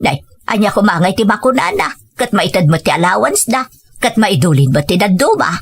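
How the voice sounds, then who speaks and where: A woman's voice: medium pitch, very animated, a little fast, creaky, breathy edge, full character voice. A woman acting as a woman of the family in an Ilocano audio drama. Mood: firm. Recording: music under the voice. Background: music.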